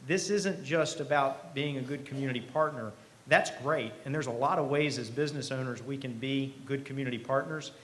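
A middle-aged man speaks to an event from a podium microphone, with clear ambient noise around him. His voice sits at an average pitch. He delivers his keynote in a conversational, earnest way, pausing deliberately to drive points home. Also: fairly bright tone. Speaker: company president, keynote speaker. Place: event hall stage. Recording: live podium speech with clear background noise.

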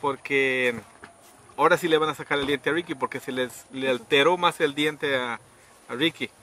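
A middle-aged man casually telling a story outdoors, acting out quoted people with sudden high pitched voices. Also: moderate pace, bright, forceful tone. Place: outdoors under trees in a rural yard. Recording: outdoor noise in background.

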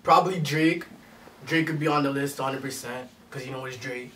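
Laid-back young rapper, slangy drawl. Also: male voice, medium pitch, a little fast, bright, forceful tone, far from the mic, a little hiss or hum, rough recording. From Detroit, raised in Durham Region, Ontario. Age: young adult. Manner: laid-back street slang, frequent "you know what I'm saying" tags. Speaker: rapper guest. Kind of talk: casual interview chat. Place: small studio room, seated on a couch.

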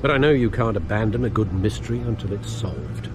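An actor playing an older British butler in a video game, formal, measured, dryly witty and cautioning. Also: male voice, fairly low pitch, animated, background noise, lightly acted.

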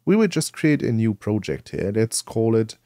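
Low-voiced man with lively intonation. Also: normal speed, no room sound, clean studio sound. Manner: explanatory, step-by-step. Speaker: tutorial presenter. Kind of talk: spoken software tutorial.

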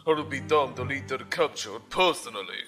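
An actor playing a stern, arrogant commodore of a space base, speaking in a formal, pompous, commanding way in an audio drama. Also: male voice, mid-range voice, expressive, normal speed, pushed and bright, soft background music. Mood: cold, sardonic.

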